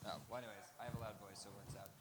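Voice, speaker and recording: A man with a low voice answers questions at a conference. He talks casually, with occasional fillers. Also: little pitch movement, rapid-fire, heavy background noise. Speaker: presenter. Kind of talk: conference talk. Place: conference room.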